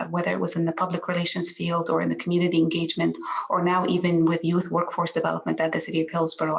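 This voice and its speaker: Gravelly low Egyptian-born woman. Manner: earnest, halting with many restarts. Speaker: panelist. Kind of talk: online panel introduction.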